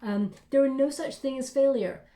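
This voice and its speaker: A woman's voice: high voice, normal speed, warm tone, reverberant. A woman giving a tarot reading in an encouraging, reassuring way, with frequent fillers. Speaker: tarot reader.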